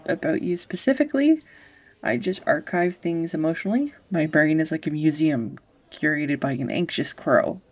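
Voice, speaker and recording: A woman acting an anxious, tender young woman in an intimate audio roleplay, speaking in a halting, confessional ramble on a close microphone. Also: low-pitched, creaky, a little mellow, husky, full character voice. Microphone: close USB studio microphone.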